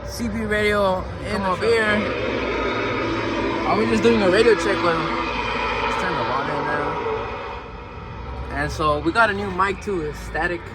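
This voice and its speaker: Man, a bit higher-pitched, casual trucker vlogger, slangy, noisy vehicle background. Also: unhurried, long pauses. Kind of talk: casual vlog chat. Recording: noisy recording around truck and radio.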